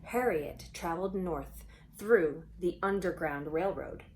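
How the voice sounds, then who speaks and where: A woman reading a children's storybook aloud expressively, pausing for conversational asides and questions to young listeners. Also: on the low side, darkish tone, slight background noise, rough recording.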